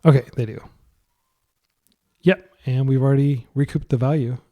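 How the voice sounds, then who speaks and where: A man's voice: medium pitch, steady tone, normal speed, highs cut off. A young man giving casual, thinking-aloud commentary on a card game he is playing. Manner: chatty, thinking aloud with fillers. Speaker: gaming commentator.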